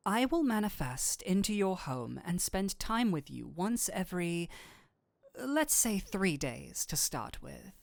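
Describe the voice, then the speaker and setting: A woman's voice: on the low side, no echo. A woman playing a nerdy male incubus demon in an intimate audio roleplay, speaking to the listener in formal, theatrical wording with a flustered, courteous, flirtatious manner.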